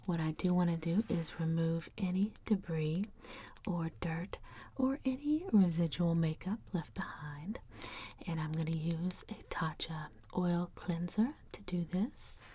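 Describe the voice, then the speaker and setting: A woman whispers slowly and soothingly in an ASMR style, very close to the mic. Her hushed delivery is unhurried and bright, with faint noise behind it. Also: fairly low pitch. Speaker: ASMR video creator. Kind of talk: ASMR whispering, gentle makeup roleplay.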